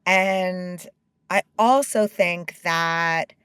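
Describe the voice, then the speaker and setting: A woman's voice: on the low side, a bit bright, clean tone, no echo, clean studio sound. A middle-aged woman, a company co-founder, speaking as an interview guest. She talks openly and with feeling, and she often stops and restarts her sentences. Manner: open and emotional, with halting restarts. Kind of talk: candid interview talk.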